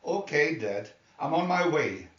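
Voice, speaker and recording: A man voicing an eager, dutiful son who is a rescue pilot, brisk and businesslike. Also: a little high, expressive. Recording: muffled, echoey room, distant mic.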